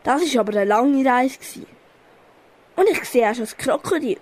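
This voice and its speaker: Girl playing a high-voiced, loyal Swiss German elephant. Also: fairly quick, darkish tone, natural voice. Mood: brave, uneasy. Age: teenager. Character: loyal, helpful, brave young elephant. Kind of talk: amateur school radio play.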